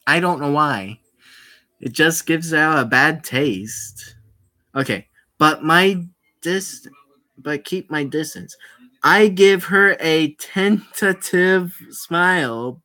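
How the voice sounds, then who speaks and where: A streamer talking to viewers while working through a visual novel, in a very slow, drawn-out way. The voice is bright and clear. The talk rambles, doubles back on itself and breaks into quick apologies. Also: medium pitch. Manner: chatty, rambling, with many restarts and apologies. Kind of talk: casual live-stream chat while playing a visual novel.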